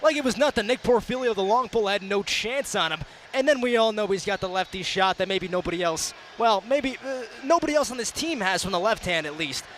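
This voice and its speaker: A man's voice: high voice, expressive, a little fast, a bit bright. A young man giving emphatic, conversational color commentary during a live sports broadcast. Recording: outdoor broadcast with faint background noise.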